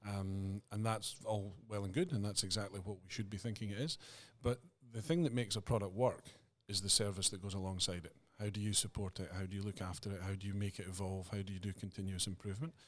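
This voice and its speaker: A man's voice: fairly low pitch, fairly even intonation, fast, few pauses. A middle-aged Scottish man talking casually as a podcast guest, easygoing with frequent restarts. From Scotland. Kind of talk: casual interview chat.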